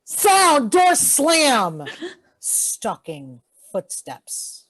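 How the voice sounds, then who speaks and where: A woman's voice: sits high, wildly swooping pitch, drawn-out words, a crowd in the background, low-quality recording. A woman reading out sound-effect cues for a radio play in short, announced phrases. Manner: clipped, announced cue words. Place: performed from home on a video call.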